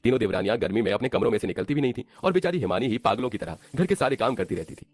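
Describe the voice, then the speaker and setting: A man's voice: fairly low pitch, animated, rapid-fire, darkish tone, a little hiss or hum. A man narrating a scripted cartoon family story as the storyteller.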